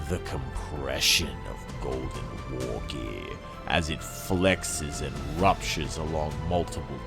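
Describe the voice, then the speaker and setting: Really deep guy doing slow theatrical story narration, big weighty pauses, music playing behind him. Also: gravelly. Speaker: audiobook-style reader. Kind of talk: dramatic scripted narration in second person.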